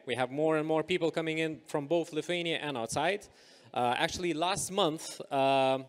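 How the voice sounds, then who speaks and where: A man moderating a live panel discussion on stage, upbeat and energetic, with many filler sounds. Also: fairly high pitch. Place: event stage.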